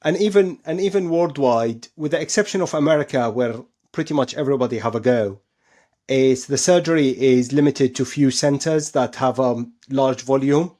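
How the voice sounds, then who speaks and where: A man's voice: medium pitch, normal speed, warm tone. Male medical expert explaining in an interview. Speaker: surgeon, expert guest.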